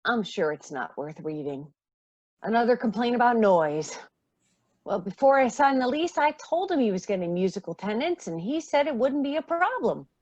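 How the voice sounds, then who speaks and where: A woman's voice: fairly high pitch, very animated, normal speed, darkish tone, no room sound, lo-fi sound. A woman acting a caring, middle-aged mother in a radio play. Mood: warm, composed. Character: caring, generous mother, a singer struggling with money.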